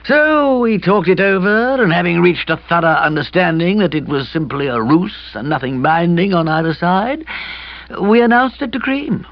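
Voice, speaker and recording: Man voicing a plaintive young gentleman, pitched up. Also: expressive, moderate pace, no echo, dull audio. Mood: plaintive explaining. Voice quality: husky. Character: dejected young gentleman friend confessing romantic woes.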